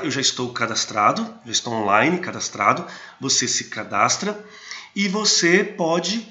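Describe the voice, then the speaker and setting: A man hosting a screen-recorded tutorial on software and apps, talking with many restarts and fillers. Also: medium pitch, lo-fi sound. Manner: hesitant, frequent restarts and fillers. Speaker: host of a geotechnology channel.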